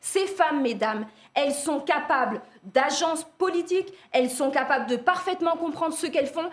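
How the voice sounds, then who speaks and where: A woman's voice: sits high, lively intonation, normal speed. A woman arguing with passion as a guest in a televised debate. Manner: impassioned, forceful argument.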